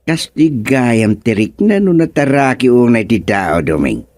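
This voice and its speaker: An actor playing a stubborn, giggly old father in a comedic radio drama, exclamatory and repetitive with drawn-out words. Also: medium pitch, very animated, a little mellow, dry recording. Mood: playful, puzzled.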